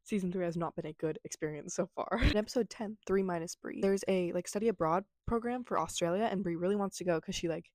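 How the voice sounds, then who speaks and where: A woman's voice: lowish voice, quick pace, few pauses, a little mellow, no room sound. A young woman vlogger recapping a show from memory in casual, filler-heavy chat, sounding slightly congested. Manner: casual, chatty, many fillers, says "like" a lot. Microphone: camera mic.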